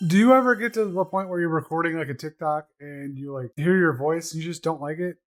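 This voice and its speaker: A man's voice: fairly high pitch, brisk, soft background music. Talkative man with a lightly raised voice. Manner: explanatory, talks things through at length. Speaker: podcast co-host. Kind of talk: casual chat.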